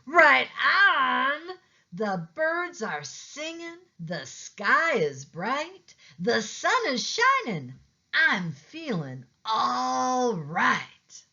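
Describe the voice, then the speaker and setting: Casting: woman plays friendly storybook cat, high, bright, singsong and unhurried. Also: sing-song, creaky, lo-fi sound, exaggerated voice. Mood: cheerful. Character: friendly, cheerful blue cat, helpful and upbeat.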